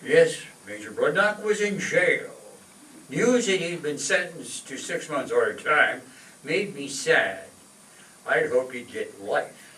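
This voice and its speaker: Male comedy narrator, slightly high pitch, scripted announcer delivery, roomy distant stage recording. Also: lively intonation, slow, pushed and bright, slight background noise.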